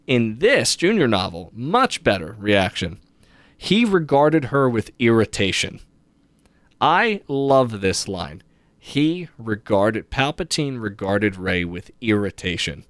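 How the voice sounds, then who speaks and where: A man's voice: fairly low pitch, very animated, slow pace, fairly bright tone, no echo. A man hosting a podcast, casually recapping a book with read-aloud passages and opinionated, conversational asides. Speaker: podcast host.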